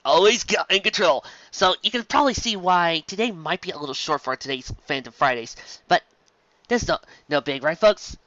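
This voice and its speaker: Animated woman host with a bright voice. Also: fairly low pitch, moderate pace, no room sound, lo-fi sound. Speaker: host and story reader. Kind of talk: animated hosting and fan fiction narration.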